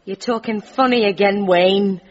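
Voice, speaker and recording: An actress playing a bossy, excitable, no-nonsense British woman in a comic audio drama. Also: mid-range voice, a little slow, highs cut off, lightly acted. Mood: exasperated.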